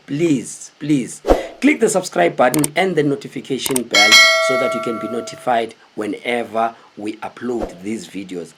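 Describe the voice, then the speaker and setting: A man in his thirties with a South African accent and a husky, slightly rough voice at medium pitch. He talks as a host sharing stories with his audience, casual and animated, checking in with viewers as he goes. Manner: animated, conversational, with rhetorical questions to viewers. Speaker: host telling stories of his military service. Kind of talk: casual storytelling to camera. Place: small indoor room with cloth backdrop.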